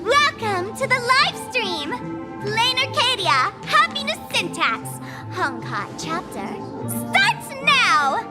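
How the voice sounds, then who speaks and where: A mischievous masked-fool girl, speaks in a very high voice that swings wildly in pitch. She takes her time, theatrical and mocking, like a game character toying with a rival over music. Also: sing-song, slow pace, exaggerated voice. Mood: taunting, gleeful. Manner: theatrical, taunting, playful. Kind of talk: anime game voice acting.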